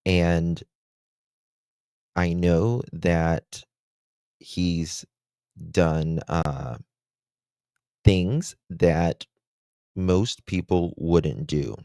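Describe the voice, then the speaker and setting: A man hosting a true-crime livestream in casual chat, with a deep voice and a slow, halting way of talking full of fillers. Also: dark tone, clean tone, dry recording. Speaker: livestream host.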